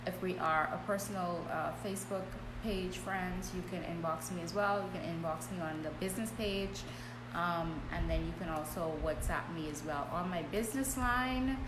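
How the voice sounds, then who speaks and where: Female business coach, live-stream host, lower pitch, conversational with lots of fillers; roomy echo, steady hum behind. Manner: chatty, frequent "um" and "okay?" check-ins. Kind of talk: casual live-stream talk. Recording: echoey room with clear background hum.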